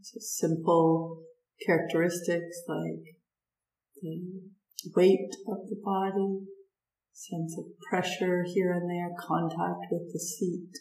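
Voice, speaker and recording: A woman guides a meditation in a slightly low voice. She speaks very slowly, offering gentle instructions in short phrases and leaving long pauses between them. The sound is roomy, with noticeable echo around her words. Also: level tone.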